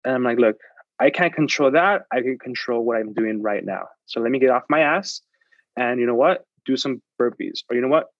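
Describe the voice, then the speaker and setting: A man's voice: medium pitch, a little fast, no echo. Male podcast guest, native Spanish speaker, motivational and sincere, lo-fi dry sound. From United States. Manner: earnest, motivational, repeats words as he restarts. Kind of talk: casual interview chat.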